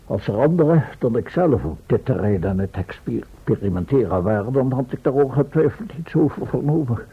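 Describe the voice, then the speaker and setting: An actor playing a timorous, pompous professor in a radio play, husky and hesitant, stammering when alarmed. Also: male voice, mid-range voice, expressive, moderate pace. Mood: self-important. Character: fussy, timorous male professor, proud of his knowledge. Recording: muffled, band-limited old radio play recording.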